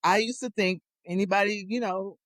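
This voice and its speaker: A woman's voice: medium pitch, fairly even intonation, moderate pace, fairly bright tone, no echo, studio quality. A woman in her fifties, a podcast guest, telling reflective and amused stories in casual conversation.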